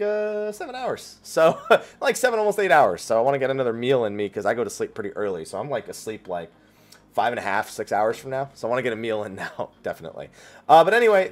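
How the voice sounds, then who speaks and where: A man hosting his weekly Q&A stream, chatting casually and rambling with lots of fillers and restarts, his voice slightly raspy from talking a long time. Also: mid-range voice. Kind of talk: casual chat.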